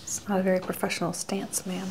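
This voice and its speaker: A woman playing the person being patted down in an ASMR role-play, answering quietly in a dry, deadpan way. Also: fairly low pitch, fast talker, gravelly, a little hiss or hum, rough recording.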